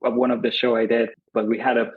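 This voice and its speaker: A man in his thirties with an Iranian accent, earnest and reflective, who often doubles his words for emphasis. His voice is breathy and a little husky and sits at an ordinary middle pitch. The podcast audio is muffled and lo-fi. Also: little pitch movement, fast talker. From Iran. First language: Azerbaijani Turkish, Kurdish and Farsi. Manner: thoughtful, earnest, repeats words for emphasis, laughs easily. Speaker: lighting designer, guest. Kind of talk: podcast interview.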